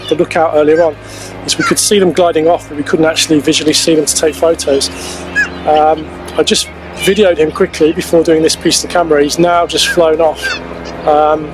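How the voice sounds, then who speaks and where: Higher-voiced man vlogging outdoors over music. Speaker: vlogger, wildlife photographer. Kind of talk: casual vlog to camera. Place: outdoors on a grassy coastal clifftop. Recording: poor camera-mic sound outdoors, music behind.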